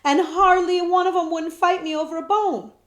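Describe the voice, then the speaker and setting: A woman voicing a brash street boy who talks loud and cocky in slang. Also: very high voice, steady tone, normal speed, reverberant, voice pushed up. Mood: scolding.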